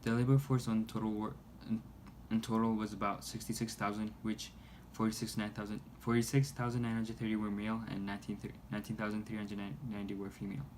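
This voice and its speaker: A man reading a scripted news report aloud in a halting way, stumbling over words. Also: fairly low pitch, level tone, a little mellow. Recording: noisy amateur recording.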